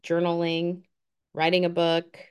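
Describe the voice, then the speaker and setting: A woman's voice: fairly low pitch, completely flat delivery, unhurried, a little mellow, smooth. A woman in her fifties giving an earnest, encouraging motivational talk on a group call. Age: 50s. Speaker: guest speaker.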